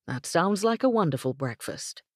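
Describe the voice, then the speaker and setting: A woman voicing a polite young English gentleman working as a ranch hand, with formal, courteous speech. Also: lowish voice, big pitch swings, moderate pace, dry recording, clean studio sound.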